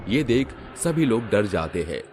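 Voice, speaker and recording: Deep-voiced male story narrator. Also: very animated, unhurried, background noise.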